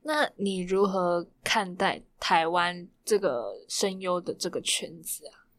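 A woman's voice: fairly low pitch, expressive, a little slow, fairly bright tone. A woman with a Taiwanese Mandarin accent interviewing in a conversational way, attentively echoing what the guest says. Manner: attentive, echoes the guest's phrases. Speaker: podcast interviewer.

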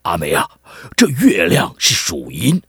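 A man's voice: low-pitched, huge pitch swings, measured pace, vocal fry, fairly bright tone, breathy edge, no room sound, studio quality, heavily acted. A man voicing a theatrical middle-aged village chief, a long-winded, avuncular storyteller in a children's radio drama. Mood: mock-stern. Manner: theatrical, mock-solemn, avuncular.

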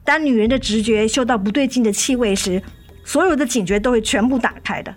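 A woman's voice: on the high side, a little fast, natural voice. A woman acting a weary, resolute wife and mother in a radio drama, firm and reproachful with her husband. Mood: controlled, accusing. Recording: studio radio drama with music underneath.

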